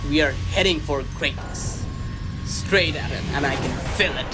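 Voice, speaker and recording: Pompous, high-pitched starship captain, played by a man. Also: music in the background, close to an everyday voice. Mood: self-important. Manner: pompous, grandly declaiming, deliberate. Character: pompous, over-confident male starship captain, self-styled hero. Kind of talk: sci-fi comedy audio drama acting.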